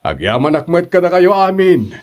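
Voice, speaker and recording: A man's voice: high voice, sing-song, moderate pace, a little mellow. A man playing a kindly, regretful eatery boss in an Ilocano radio drama, apologetic and considerate.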